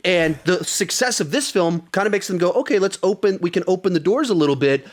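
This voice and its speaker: A man's voice: sits high, lively intonation, brisk. A man hosting a podcast, talking casually about films in a conversational, moderating manner. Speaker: podcast host. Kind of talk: casual film discussion.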